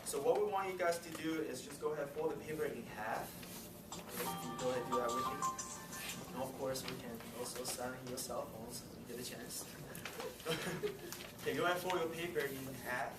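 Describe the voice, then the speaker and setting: A man's voice: mid-range voice, steady tone, a little fast, over loud music. A man hosting a club meeting, making chatty announcements with frequent little laughs. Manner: friendly, chatty, laughs between remarks. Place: meeting room. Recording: roomy, distant mic, loud room noise.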